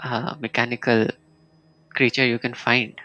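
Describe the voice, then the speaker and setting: A man's voice: medium pitch, level tone, unhurried, pushed and bright, muffled recording, soft background music. A man with an Indian accent giving casual, playful, reactive live commentary as he streams a game.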